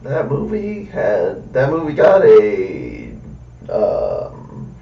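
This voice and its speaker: Young male, creaky mid-range voice, hesitant casual podcaster, set back from the mic. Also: fairly low pitch, wildly swooping pitch, extremely slow. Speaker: podcast participant. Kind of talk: casual chat. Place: echoey room, off the mic. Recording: roomy, distant, dull, faintly noisy.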